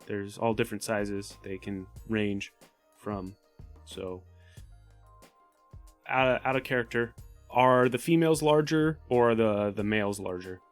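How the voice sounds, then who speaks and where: A man's voice: lowish voice, measured pace, pauses often, soft background music. A man chatting casually and matter-of-factly as a player in a tabletop game.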